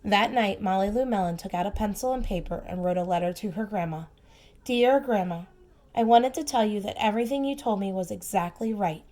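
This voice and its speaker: A woman reading a storybook aloud to young children, expressive and emphatic, with simple asides and questions for the listeners. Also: mid-range voice. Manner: expressive, slow emphatic phrasing, simple child-directed comments. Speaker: preschool teacher reading aloud.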